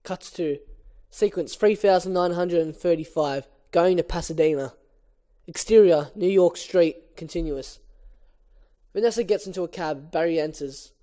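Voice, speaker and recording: A man's voice: on the high side, normal speed, warm tone. A man casually reading a film script aloud as an amateur, narrating the stage directions. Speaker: script reader.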